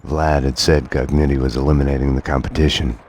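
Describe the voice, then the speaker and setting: A very deep, brooding noir detective. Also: male voice, quick pace, slight background noise. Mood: grim, fatalistic. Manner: terse, fatalistic, deadpan. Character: brooding, world-weary middle-aged male detective narrating his own downfall. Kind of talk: noir monologue and game dialogue. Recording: dramatic music under the voice.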